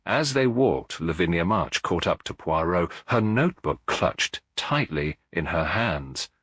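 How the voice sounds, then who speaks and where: A man narrating a detective story in a measured, dramatic audiobook style. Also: low voice, a bit bright, breathy edge, no room sound, clean studio sound.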